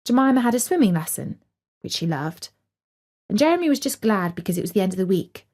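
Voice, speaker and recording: A woman's voice: medium pitch, very animated, a little fast, a little mellow. A woman storyteller reading a scripted story for children.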